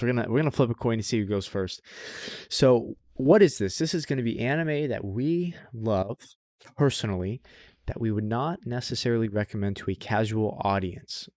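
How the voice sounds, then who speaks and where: Low-voiced casual man co-hosting a podcast. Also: darkish tone, no echo, studio quality. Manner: enthusiastic, informal with slang and swearing. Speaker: podcast co-host. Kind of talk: casual podcast chat about anime.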